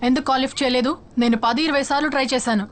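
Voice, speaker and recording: An actress playing an eager, brisk and obliging young female forensic assistant in comic film dialogue. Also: high voice, steady tone, a little hiss or hum, natural voice. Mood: annoyed. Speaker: actor in a dubbed film.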